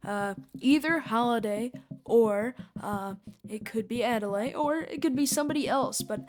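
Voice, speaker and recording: A woman's voice: a little high, moderate pace, a little mellow. A woman giving casual fan-theory commentary as a host, rambling and repeating her points. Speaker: podcast host and commentator reacting to clips.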